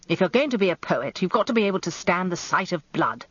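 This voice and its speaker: A woman's voice: on the low side, sing-song, fast, a bit bright, no room sound. A woman voicing a wry, grumbling old male barrister with a droll, ponderous manner in a radio story. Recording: slightly dull, lo-fi radio recording, dry.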